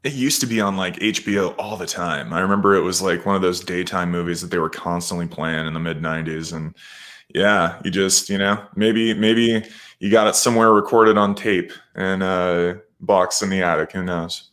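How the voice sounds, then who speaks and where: Low-voiced enthusiastic man in casual chat. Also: steady tone, normal speed, a bit bright. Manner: enthusiastic, nostalgic. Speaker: guest panelist.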